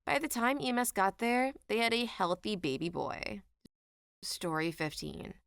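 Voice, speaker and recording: A woman's voice: mid-range voice, lively intonation, normal speed, vocal fry, a bit bright, smooth, no room sound, studio quality. A woman reading out written anecdotes as a scripted online story narrator.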